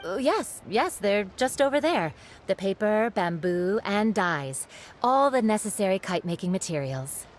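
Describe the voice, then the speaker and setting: A woman's voice: mid-range voice, sing-song, normal speed, a little hiss or hum, natural voice. An actress playing a polite, helpful female shopkeeper in a video game, explaining things like a keen seller. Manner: polite, sales-minded, explanatory. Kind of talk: video game character acting.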